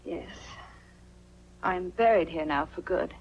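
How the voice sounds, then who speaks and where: An actress playing a gentle, guarded young widow with a husky voice and an American accent, in 1940s film style. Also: female voice, fairly low pitch, slow pace. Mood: gentle, resigned. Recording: dull 1940s film soundtrack.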